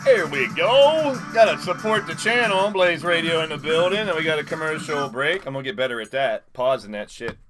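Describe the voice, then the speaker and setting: Casual livestream host, high-pitched man, shouts out chat over game audio. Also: sing-song, fairly quick, few pauses, music in the background. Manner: chatty, greets viewers by name. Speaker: live stream host doing play-by-play and chat shoutouts. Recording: game broadcast audio playing behind.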